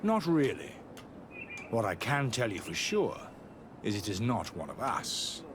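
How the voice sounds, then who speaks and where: An actor playing a guarded, zealous missionary monk and scholar in a video game, speaking in a measured, dismissive way. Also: male voice, fairly low pitch, huge pitch swings, crowd noise. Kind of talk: video game cutscene acting.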